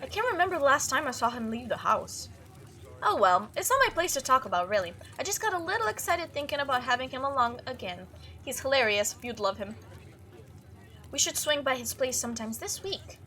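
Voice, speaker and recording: A woman's voice: high-pitched, sing-song, brisk, bright, forceful tone, full character voice. A woman voicing an upbeat, chatty young monster-hunting video maker in a visual novel read-through. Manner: bubbly, casual, enthusiastic.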